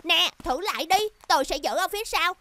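Young cartoon boy hero: very high, animated, upbeat, helpful. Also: brisk, a little hiss or hum, heavily acted. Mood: encouraging. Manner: upbeat, encouraging. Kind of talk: children's cartoon dubbing.

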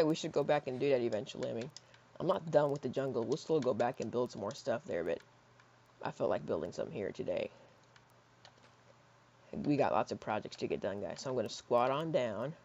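A hoarse young man in his teens rambling casually while playing a building game, with lots of fillers and soft mutters. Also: mid-range voice, expressive, moderate pace, pauses often, a little hiss or hum. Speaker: gaming YouTuber. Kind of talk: casual gameplay commentary. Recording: slightly dull lo-fi mic.

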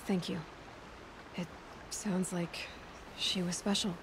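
An actress playing a compassionate, self-assured young huntress in a video game, speaking plainly and gently. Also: female voice, lowish voice, level tone, slow pace, noisy background. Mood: calm, sympathetic. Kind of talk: video game dialogue acting.

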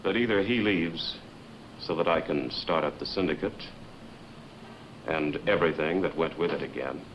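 An actor playing a cold, scheming male blackmailer in a 1950s film drama, with a low, coolly threatening delivery. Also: moderate pace, lo-fi sound. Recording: old film soundtrack with light hiss.

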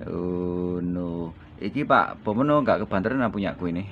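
A man with a Javanese accent chatting casually over a two-way radio, relaxed and signing off each turn. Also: low voice, moderate pace. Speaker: radio amateur operator. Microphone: desk condenser mic. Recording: lo-fi room with loud hiss.

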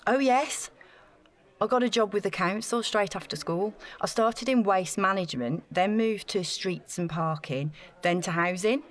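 A woman's voice: mid-range voice, moderate pace, natural voice. An actress playing an eager, self-effacing young woman in a naturalistic audio drama, talking in a chatty, gushing way. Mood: proud, chatty.

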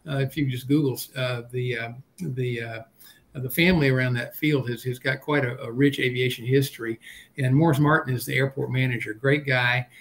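An older man chatting as a panelist on a video call, halting and repeating the starts of his words. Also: fairly even intonation, fairly bright tone. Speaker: panelist and experienced pilot. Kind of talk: casual panel chat. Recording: noisy video call with low rumble.

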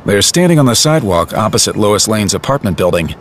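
Man, audio drama narrator, low pitch, very lively intonation, scripted. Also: fast talker, slight background noise.

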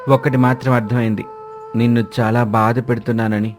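A man plays a crow husband from a cartoon fable, in a medium-pitched male voice. He is suspicious and stubborn, talking grudgingly to his wife over background music. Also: flat delivery, fairly quick, close to an everyday voice. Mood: grudging, conceding. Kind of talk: dramatized moral story cartoon dialogue. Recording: music bed behind voice.

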